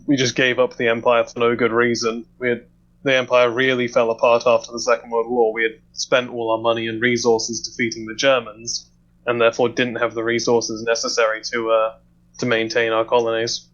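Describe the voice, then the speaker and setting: A man making measured points in full sentences during an informal discussion. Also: mid-range voice, completely flat delivery, a bit bright. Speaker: panel discussion participant. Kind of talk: casual political discussion. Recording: dull lo-fi voice audio.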